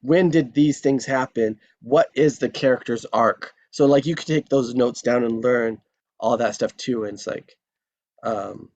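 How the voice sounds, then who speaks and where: A man's voice: medium pitch, unhurried, a little mellow, a little hiss or hum. Man musing about screenwriting, dull audio. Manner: rambling, thinks aloud with many fillers. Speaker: table read participant. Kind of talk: casual discussion of a screenplay.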